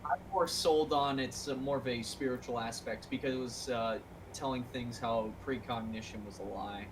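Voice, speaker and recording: A man's voice: medium pitch, fairly even intonation, moderate pace, background noise. A man from the United States talking casually in a group discussion.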